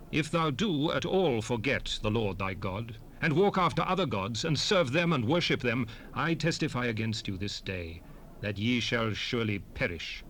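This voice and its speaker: An actor playing a solemn, commanding prophet who exhorts his people in measured, oratorical scripture recitation for an audio drama. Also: mid-range voice, fairly bright tone, lightly acted. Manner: solemn, oratorical, measured phrasing with emphatic rises and falls. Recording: noisy unprocessed 1970s cassette rip.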